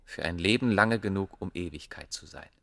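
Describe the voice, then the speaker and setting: An actor playing a proud, obsessive ancient scholar, speaking gravely and declaiming in a German radio play. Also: male voice, low voice, a bit bright, no room sound, studio quality. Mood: grave, intense. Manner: grave, declamatory, deliberate phrasing.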